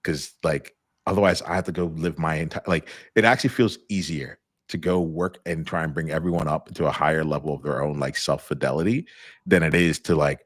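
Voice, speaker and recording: A middle-aged man hosting a live conversation, talking loosely with a deep voice, many fillers and restarts. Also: fairly even intonation, brisk, dry recording, studio quality. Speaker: host.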